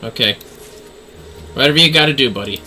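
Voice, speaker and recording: A man's voice: mid-range voice, fairly quick, pushed and bright, soft background music. A man livestreaming a game with casual, off-the-cuff chat.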